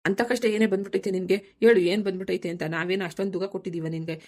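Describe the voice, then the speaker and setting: A woman voicing a quick-tempered village farmer husband who bickers and coaxes in a family cartoon, with a rural southern Karnataka accent. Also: mid-range voice, darkish tone, lightly acted. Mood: irritated, belittling. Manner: bickering, colloquial, nagging questions.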